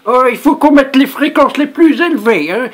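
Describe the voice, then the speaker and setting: A performer voicing an excitable, stubborn, naive old grandmother in a prank phone call. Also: high voice, normal speed. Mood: boastful. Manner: insistent, rambling, tags sentences with questions.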